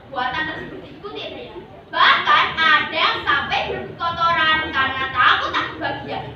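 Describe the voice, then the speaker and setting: A woman's voice: high-pitched, normal speed, a crowd in the background. A young female contestant performing a memorized religious story in a theatrical, declamatory manner. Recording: echoey noisy hall, muffled, far off.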